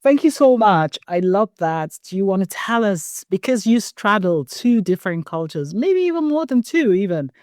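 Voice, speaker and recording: A podcast host with a frank, opinionated manner, leading a chatty interview. The voice sits at a middling pitch and is recorded dry and close. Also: very animated, moderate pace, hardly any pauses, a little mellow, no echo.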